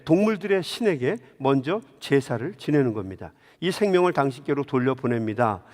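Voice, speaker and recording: Animated male preacher in a reverberant hall. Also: on the high side. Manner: engages listeners with direct questions. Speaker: pastor preaching to a congregation. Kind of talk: Sunday sermon with storytelling and rhetorical questions. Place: large indoor church sanctuary. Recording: long hall reverb of a church.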